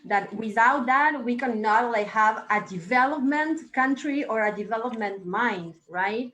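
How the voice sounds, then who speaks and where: Woman host, slightly high voice, effusive, echoey room recording. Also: a little slow, a bit bright. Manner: effusive, religious blessings. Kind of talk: live talk show hosting.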